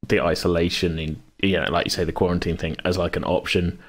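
A man's voice: sits low, little pitch movement, fast talker. A man chatting casually as a podcast host, rambling and often interrupting himself. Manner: rambling, self-interrupting, hedging. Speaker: podcast host and game master. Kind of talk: casual chat.

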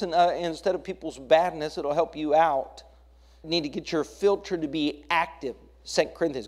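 Higher-voiced American man preaching. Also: a little mellow. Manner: exhorting, repeats phrases, builds emphatic lists. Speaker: Baptist pastor preaching to his congregation. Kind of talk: sermon with questions put to the congregation.

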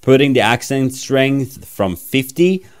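A man in his thirties from Norway, speaking with a Norwegian accent in a casual, playful software demo. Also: medium pitch, lively intonation, slow, clear, resonant tone. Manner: chatty, playful, self-mocking. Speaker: tech reviewer. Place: small room, indoors.

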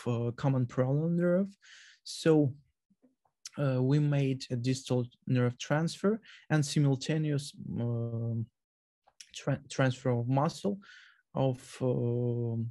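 A man's voice: medium pitch, dark tone. A man giving a surgical presentation over a video call, hesitant, with many fillers and restarts. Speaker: surgeon presenting at a webinar. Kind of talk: academic presentation.

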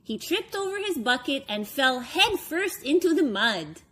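A woman in her thirties reading a children's storybook aloud, expressively and with deliberate phrasing for young listeners. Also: high-pitched, very animated, pushed and bright. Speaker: story time reader for children.

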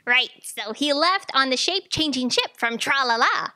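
Woman acting high, bright, eager young assistant. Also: lively intonation, moderate pace. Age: young adult. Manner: earnest, insistent. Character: eager, outspoken young female fact checker assistant. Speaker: stage actor. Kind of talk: staged audio drama acting. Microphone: stand microphone on stage.

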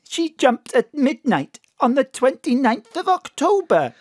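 Doting Welsh mother, middle-aged, high lilting pitch, unhurried, emphatic, for audio drama. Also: big pitch swings, darkish tone, breathy edge, dry recording, heavily acted. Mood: grave, tender. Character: Astrid's doting, plain-spoken Welsh mother.

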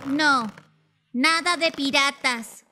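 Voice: high voice, extremely slow, over loud music. A performer voicing a giggly, squeamish little imp child in a cartoon fan dub, childlike and bubbly, with a Latin American Spanish accent. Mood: scolding.

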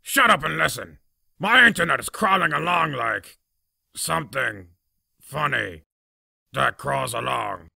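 A man voicing a cocky, short-tempered masked cartoon wrestler, gravelly and raspy, with a blustering, sarcastic delivery. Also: mid-range voice, animated, a little slow, pauses often, sharp tone, no echo, clean studio sound, exaggerated voice. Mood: furious. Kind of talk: cartoon fandub acting.

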